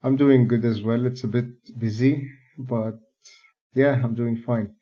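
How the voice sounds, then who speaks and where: Slow-talking young male podcast host. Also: medium pitch. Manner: relaxed, playful, unhurried. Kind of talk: casual interview. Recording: dull lo-fi audio with faint music.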